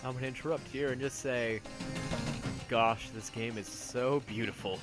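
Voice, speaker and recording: A man's voice: mid-range voice, steady tone, normal speed. A man giving casual, rambling, self-deprecating video game commentary as a let's-play host. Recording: loud game music behind voice. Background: loud game music.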